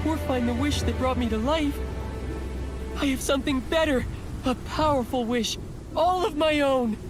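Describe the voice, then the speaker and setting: An actor voicing an earnest, timid but brave young misfit guardian in a video game, sincere and a little hesitant. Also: high voice. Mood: resolute. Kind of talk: video game cutscene acting. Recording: music behind the voice.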